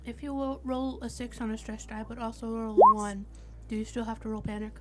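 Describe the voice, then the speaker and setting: Female player, slightly high voice, curious casual game chat, clear music underneath. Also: steady tone, fairly quick. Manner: inquisitive.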